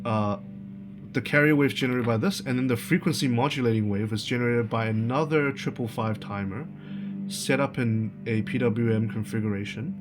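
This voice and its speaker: A man giving an informal, unscripted technical explanation as a tutorial presenter, halting and thinking aloud as he goes. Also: mid-range voice, music in the background.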